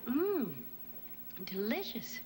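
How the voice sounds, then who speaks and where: An American actress playing a tense, impatient coffee drinker in a 1980s commercial. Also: female voice, fairly high pitch, big pitch swings, extremely slow, a little mellow, full character voice. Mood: pleased, relieved. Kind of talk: 1980s TV-style commercial acting. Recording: echoey, noisy old broadcast ad.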